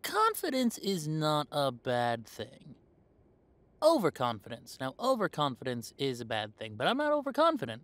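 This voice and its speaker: A man's voice: on the high side, huge pitch swings, moderate pace, a bit bright, dry recording. A man playing an easygoing, confident young werewolf in a roleplay audio drama, talking in a chatty, hesitant, conversational way. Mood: amused, self-assured. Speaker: voice actor.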